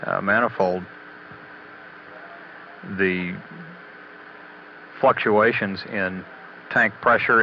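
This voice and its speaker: A man giving a halting, matter-of-fact technical status briefing as a spaceflight mission commentator, on old radio audio. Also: fairly low pitch. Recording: dull, noisy 1980s mission radio audio.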